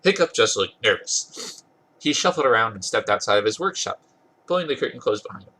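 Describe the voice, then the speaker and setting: A man's voice: fairly low pitch, sing-song, a little fast, pushed and bright. A man with a congested voice doing an amused dramatic reading of a comic story, stumbling over words now and then and correcting himself. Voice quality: husky, congested. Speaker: podcast host reading fan fiction aloud.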